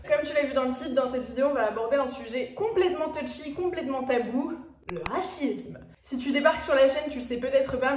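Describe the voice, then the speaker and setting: A woman's voice: high-pitched, darkish tone. Young woman, Parisian French accent, chatty vlogger, echoey room, slightly distant mic. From France. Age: 20s. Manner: chatty, direct address to viewers, frequent fillers. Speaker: YouTuber. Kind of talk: casual personal vlog talk.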